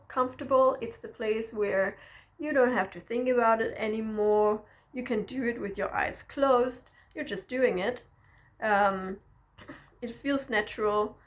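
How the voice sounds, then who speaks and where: Slow, encouraging woman. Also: fairly high pitch. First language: Danish. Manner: reflective and encouraging, often checks in with a questioning "yeah?". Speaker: self-development vlogger. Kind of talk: personal teaching monologue to camera.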